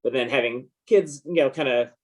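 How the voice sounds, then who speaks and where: A man's voice: mid-range voice, normal speed, low-quality recording. A man chatting casually as an interview guest, in a rambling, understated, laid-back way. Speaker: interview guest, comic book artist. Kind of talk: casual chat.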